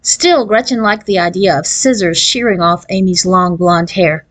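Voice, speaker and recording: A woman's voice: fairly low pitch, moderate pace. A woman narrating a children's novel as a read-aloud, following a written script. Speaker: audiobook reader.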